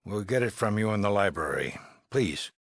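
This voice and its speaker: Weary, gravelly shelter leader. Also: male voice, lowish voice, expressive, normal speed, dry recording, clean studio sound. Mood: relieved, solemn. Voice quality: raspy, gravelly. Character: weary, anxious male leader of an underground shelter. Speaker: voice actor in a video game. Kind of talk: dramatic game dialogue acting.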